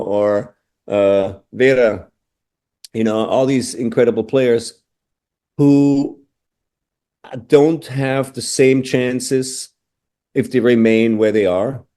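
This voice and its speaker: Casting: middle-aged man from austria, warm dark tone, reflective guest, lots of "you know". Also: mid-range voice. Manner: thoughtful, restarts phrases, frequent "you know". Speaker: interview guest, drummer. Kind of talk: casual interview answers.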